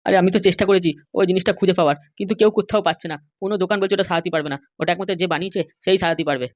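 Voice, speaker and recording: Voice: mid-range voice, steady tone, racing, dry recording, close to an everyday voice. An actor voicing an anxious, middle-aged male politician who pleads and complains in a comedy cartoon. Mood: helpless pleading.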